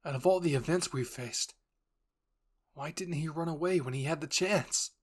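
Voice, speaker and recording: A man's voice: fairly high pitch, normal speed, lightly acted. A man performs an intense young male soldier in a roleplay story. He speaks with urgency and raw emotion, grieving yet fiercely protective. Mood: saddened.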